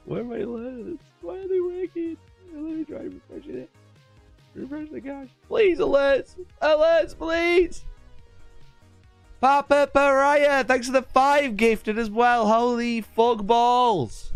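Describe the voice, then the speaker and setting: Stuffy-nosed man, lively streamer. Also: on the high side, no echo. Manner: energetic and chatty, repeating his greetings. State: congested from a cold. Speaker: livestream host. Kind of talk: casual live-stream chat.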